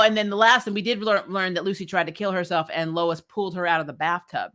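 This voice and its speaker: A woman's voice: lowish voice, sharp tone. A woman hosting a recap show, giving casual, opinionated episode commentary in an informal, wry way. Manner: informal and opinionated, with mild swearing and wry asides.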